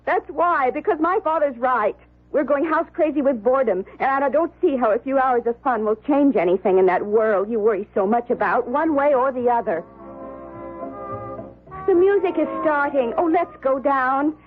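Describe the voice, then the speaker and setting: Petulant, husky-voiced rich wife. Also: female voice, high-pitched, moderate pace, soft background music. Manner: theatrical, nagging, pleading. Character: pampered, petulant rich daughter and wife, craving fun. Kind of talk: 1970s radio drama acting. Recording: muffled lo-fi old radio broadcast.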